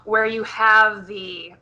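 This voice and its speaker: Very bright-voiced woman, casual interviewer. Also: mid-range voice, muffled recording. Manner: informal, meandering questions. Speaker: podcast interviewer. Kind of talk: casual chat.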